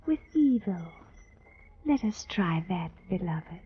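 A woman's voice: lowish voice, expressive, slow, heavy background noise. Scheming young village woman, old radio. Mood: sly, coaxing. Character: scheming young Indian village woman, coolly teasing and bold. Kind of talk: 1950s radio drama acting. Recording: dull old radio broadcast recording.

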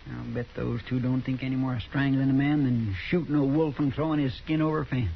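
A man's voice: mid-range voice, fairly even intonation, fast, vocal fry, a little hiss or hum, close to an everyday voice. An actor playing an earnest, folksy Texan sidekick to a lawman in a 1950s radio Western, with a rural American drawl. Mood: alarmed. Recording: muffled old radio recording.

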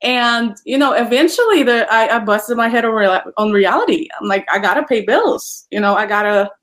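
A woman, chatting as an interview guest, full of "you know" fillers and quick to laugh. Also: on the high side, animated, a bit bright. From Poland. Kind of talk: casual interview chat.

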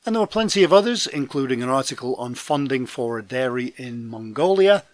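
A man hosting a podcast news roundup, his voice deepened by a head cold, reading from a script with dry, self-deprecating asides. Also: animated, fairly quick. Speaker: podcast host and trade magazine editor. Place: home in Scotland.

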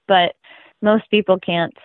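A woman's voice: mid-range voice, steady tone, unhurried, no room sound. Giggly young woman on a tinny line. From Russia. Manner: giggly, easygoing, chatty. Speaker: interview guest. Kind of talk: casual interview. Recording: dry, tinny phone-like line.

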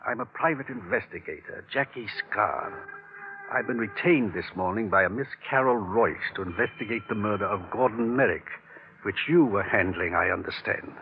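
An actor playing a suave, formal private investigator who talks in elaborately polite phrases in a 1950s radio crime drama. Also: male voice, lowish voice, expressive, moderate pace. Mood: smooth pleading. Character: suave, wordy, self-assured male private investigator. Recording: muffled, noisy old radio recording.

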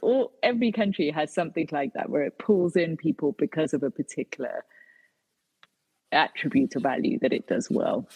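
Breathy British woman, hesitant. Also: low-pitched, normal speed, a little mellow, big-room sound, poor audio. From Britain. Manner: hesitant, many fillers and restarts. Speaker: author and guest. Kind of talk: conversational interview answers.

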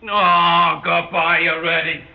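A man's voice: on the high side, little pitch movement, takes it slowly, gravelly, soft background music, heavily acted. A man acting a blunt, exasperated cab driver in an old radio drama. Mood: impatient, exasperated. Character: gruff, impatient male city cab driver. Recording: muffled old radio recording.

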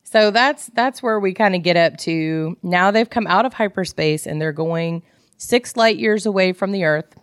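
A woman co-hosting a casual book discussion, retelling things in an animated way and acting out dialogue. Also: fairly low pitch, normal speed, smooth, dry recording, clean studio sound. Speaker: podcast co-host.

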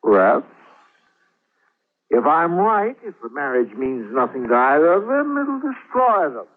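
An actor playing a stern, wealthy older uncle, blunt and imperious, in a 1940s radio drama. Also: male voice, fairly high pitch, big pitch swings, unhurried, phone-quality sound. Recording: thin band-limited old radio recording.

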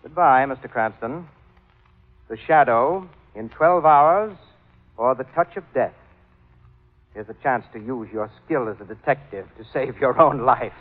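An actor playing a soft-spoken, menacing male villain with unhurried, mock-polite courtesy in an old radio drama. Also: mid-range voice, lightly acted. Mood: calm menace. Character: soft-spoken, menacing villain who claims a deadly touch. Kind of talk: 1940s radio drama acting. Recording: muffled old radio recording, long reverb.

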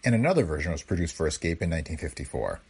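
Low husky male voice, American, creaky, lively intonation, scripted podcast intro. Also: racing. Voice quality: gravelly, husky. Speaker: podcast host.

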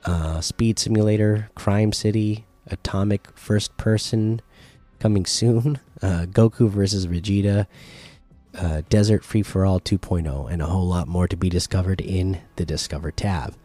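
A man's voice: low-pitched, fairly even intonation, moderate pace, warm tone, dry recording, faint music behind. A man hosting a casual solo gaming podcast who is losing his voice, reading through lists plainly with frequent uhs at a close desk mic. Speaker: podcast host.

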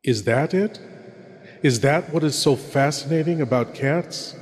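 A man hosting a horror show in a spooky, theatrical monologue, measured and sinister. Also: fairly high pitch. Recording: long reverb with music behind.

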